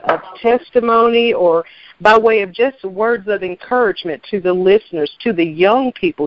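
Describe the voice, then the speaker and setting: A woman hosting a gospel radio interview, effusive and full of affirmation, answering her guests with quick amens. The recording is dry and a little dull, with no room sound. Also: lowish voice, dry recording. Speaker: host.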